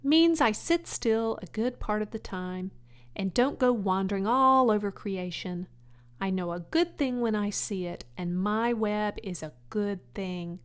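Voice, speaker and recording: A woman's voice: medium pitch, unhurried, smooth, lightly acted. A woman voicing a wise, kindly female spider in a children's storybook, matter-of-fact and articulate. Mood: opinionated.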